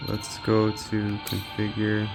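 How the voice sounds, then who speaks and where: A man's voice: fairly low pitch, monotone, slow, vocal fry, music in the background. A man giving casual, unscripted gaming commentary as a channel host, thinking aloud in a laid-back way.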